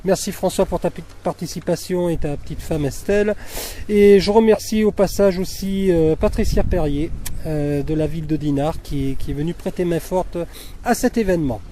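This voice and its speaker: A man from the south of France hosts a casual outdoor interview for a web magazine. He speaks in a high, mellow voice and hesitates often with fillers. Faint open-air noise runs under the talk. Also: moderate pace. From Fréjus, southern France. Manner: chatty, hesitant, lots of fillers. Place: outdoors at a beach cleanup.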